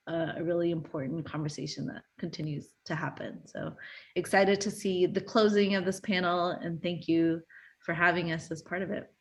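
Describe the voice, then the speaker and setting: A woman's voice: on the low side, fairly bright tone. A woman from Brazil, a native Portuguese speaker, moderating an online panel over a video call and talking in a conversational way with frequent fillers.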